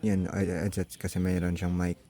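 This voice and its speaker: A man's voice: sits low, little pitch movement, normal speed, dark tone. A Filipino man giving a casual hands-on gadget walkthrough, thinking aloud tentatively and mixing in English technical words. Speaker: vlogger.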